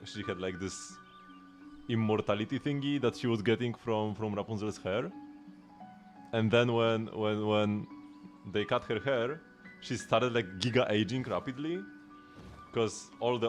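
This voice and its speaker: A man's voice: fairly low pitch, normal speed, soft background music. A man in his late twenties chatting while he streams a game, rambling with lots of restarts and laughs. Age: late 20s. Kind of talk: casual gaming livestream chat.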